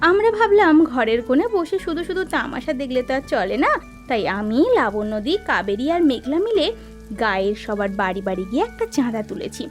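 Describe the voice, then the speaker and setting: A woman's voice: fairly high pitch, very animated, a little fast, faint music behind. A woman voicing Puja, an earnest young village woman in a cartoon, talking respectfully to her teacher. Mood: eager. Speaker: village woman collecting funds.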